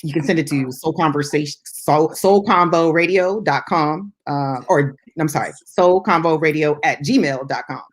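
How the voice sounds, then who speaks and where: A woman's voice: low-pitched, moderate pace, hardly any pauses. A woman co-hosting a music talk show, chatting casually and unscripted in a rambling, easygoing way with ready laughter. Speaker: radio show co-host.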